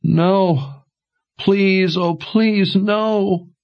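Male reader playing a distraught young daughter, sobbing and wailing high. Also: little pitch movement, a little slow, dry recording, highs cut off. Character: anguished young woman, grief-stricken daughter.

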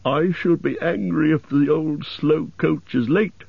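A man voicing merry, impatient elves in an audiobook, exclaiming loudly and teasingly. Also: fairly high pitch, measured pace, gravelly, breathy edge, dry recording, highs cut off, pitched above the performer's own voice, exaggerated voice. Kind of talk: audiobook character voices.

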